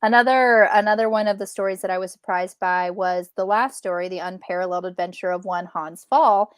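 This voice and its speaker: A woman co-hosting a casual book discussion, retelling in a chatty, animated way with quoted asides. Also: medium pitch, fairly quick, clear, resonant tone, dry recording, studio quality. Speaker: book club co-host.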